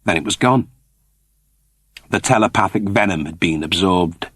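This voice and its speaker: A man's voice: low voice, normal speed, a bit bright, no echo, studio quality. A man narrating a scripted story in a dramatic storytelling style. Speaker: audiobook narrator.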